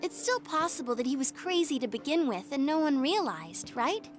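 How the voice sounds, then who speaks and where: A woman playing an expressive, earnest teenage girl sleuth in an anime-style video game. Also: sits high, normal speed, music in the background. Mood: puzzled.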